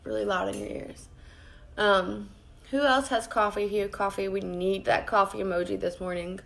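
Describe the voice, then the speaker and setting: A woman with a raspy voice, chatting casually with her viewers while she does her makeup at her vanity at home. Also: medium pitch, steady tone, normal speed, a bit bright. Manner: chatty, greets viewers by name and rambles while working. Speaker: makeup vlogger. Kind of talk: casual live-streamed makeup tutorial. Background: faint background music.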